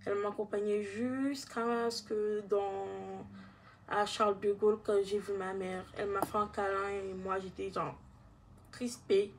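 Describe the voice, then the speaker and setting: A young woman from Haiti with a Guadeloupean accent, giving a halting personal testimony in casual speech. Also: mid-range voice, flat delivery, a little hiss or hum. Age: young adult.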